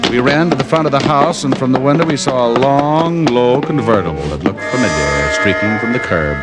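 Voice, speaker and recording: An actor playing a cynical, wisecracking hard-boiled private detective in an old-time radio drama, with a wry, dry delivery. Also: male voice, medium pitch, expressive, fairly quick, loud music behind the voice, natural voice, low-quality recording. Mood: wry narration. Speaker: lead actor and narrator.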